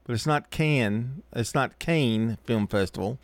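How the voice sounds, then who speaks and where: A man's voice: mid-range voice, measured pace, dry recording. A man hosting a morning radio show, joking casually with his co-host. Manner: playful, joking, laughs often.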